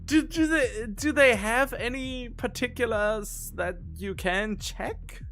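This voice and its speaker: A man's voice: extremely high pitch, takes it slowly, fairly bright tone, faint music behind. Rambling gaming streamer, man. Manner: rambling, thinking aloud, wondering questions. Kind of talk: casual gaming commentary.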